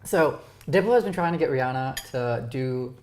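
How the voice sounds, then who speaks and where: Low-voiced fast-talking woman host. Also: animated, a little mellow. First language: Romanian. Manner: fast-talking, stumbles over words. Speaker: podcast host. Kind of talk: casual chat. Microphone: lapel.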